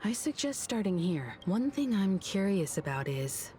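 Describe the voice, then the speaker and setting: A woman's voice: medium pitch, big pitch swings, a little slow, mellow, slight background noise. A woman playing a poised, analytical expedition leader in a video game, composed and articulate. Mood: thoughtful. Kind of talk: scripted game dialogue.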